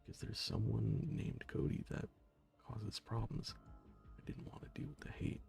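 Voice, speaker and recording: Gravelly soft-spoken male game streamer. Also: normal speed, soft background music. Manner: low-key and soft-spoken, joking, sometimes whispering. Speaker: game streamer reading chat. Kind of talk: casual gaming stream chat.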